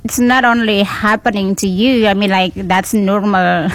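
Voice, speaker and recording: A woman's voice: mid-range voice, steady tone, unhurried, no room sound, low-quality recording. A woman radio host, chatting casually and encouragingly with a guest, often restarting her sentences. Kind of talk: casual on-air chat with a guest.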